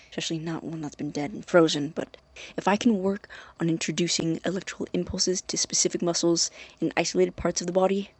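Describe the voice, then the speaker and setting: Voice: medium pitch, no echo, close to an everyday voice. An actor playing an obsessive, anxious young male researcher dictating experiment notes in an audio drama, in a precise, formal but hesitant way. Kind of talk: audio drama, spoken tape journal.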